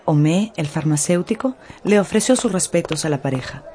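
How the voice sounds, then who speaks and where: A woman narrates a radio novel in an expressive storytelling manner. Her voice is fairly low and mellow, with lively swings of intonation, over faint music. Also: fairly quick, slight background noise. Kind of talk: scripted dramatized audiobook narration.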